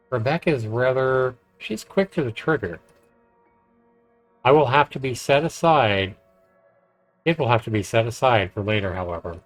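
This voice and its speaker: A man streaming a visual novel, reading its narration aloud in a measured storytelling way with casual commentary. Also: lowish voice, rough recording. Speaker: game streamer. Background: game music.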